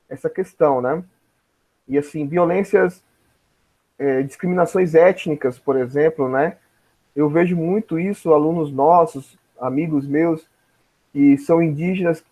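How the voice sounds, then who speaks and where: A man's voice: a little high, a little mellow, dull audio. A man with a Brazilian Portuguese accent giving an informal lecture in a didactic way, often checking that listeners follow. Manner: didactic, frequent tag questions checking understanding. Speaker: teacher, lecturer.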